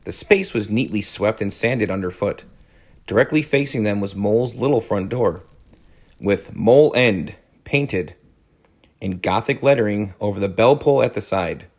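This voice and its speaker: A man's voice: on the low side, lively intonation, moderate pace. A man reading a story aloud as a teacher, adding informal explanatory asides.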